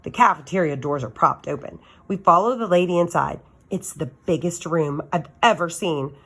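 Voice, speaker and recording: A woman with a slightly low voice reads a children's novel aloud. She narrates like a teacher sharing a story with students, giving it expression. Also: normal speed, a bit bright.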